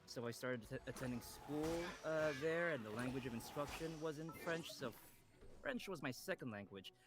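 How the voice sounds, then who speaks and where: A man's voice: mid-range voice, expressive, normal speed, over music. A Vietnamese-born man whose first language is Vietnamese, a voice actor guest chatting casually with lots of restarts and laughs. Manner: laughing, self-deprecating, stumbling restarts. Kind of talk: casual interview chat.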